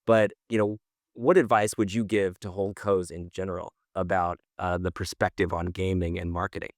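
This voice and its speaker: A man's voice: deep voice, little pitch movement, normal speed, no echo, clean studio sound. A man hosting a podcast in a casual, conversational interview style. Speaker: podcast host.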